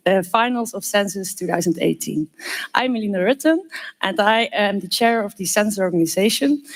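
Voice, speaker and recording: A young woman opens the finals as the chair of the student organizers. She speaks formally and warmly welcomes the audience. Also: mid-range voice. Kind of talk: opening speech.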